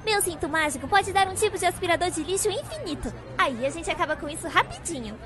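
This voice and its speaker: Woman voicing an excitable, very high-pitched little girl. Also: quick pace, over a noisy crowd. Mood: excited. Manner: eager, exclamatory. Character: excitable young girl inventor with a magic belt. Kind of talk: animated webseries dialogue.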